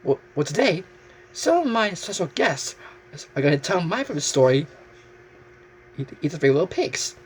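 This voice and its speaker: A man hosting a story show, presenting excitedly to viewers and often halting to repeat phrases. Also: on the high side, slight background noise.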